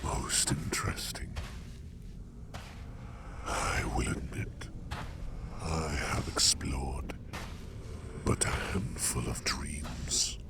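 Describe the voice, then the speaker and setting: ASMR sleep demon: solemn, protective, archaic phrasing, extremely gravelly, softly whispered, drawn-out delivery. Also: male voice, rumbling low, full character voice. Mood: curious, calm. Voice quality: gravelly, breathy half-whisper. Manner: formal, archaic, slow deliberate phrasing. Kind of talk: ASMR roleplay.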